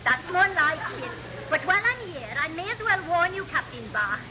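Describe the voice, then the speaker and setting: A demanding Welsh auntie from a vintage radio sitcom. She is pushy and forthright, in an extremely high, animated voice. The old recording is dull and noisy. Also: moderate pace. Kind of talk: vintage radio comedy acting.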